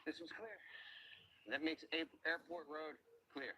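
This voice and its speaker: A man working as an underwater search diver, narrating the search step by step outdoors by ponds and calmly reporting depths and findings. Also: a little high, measured pace, noisy background.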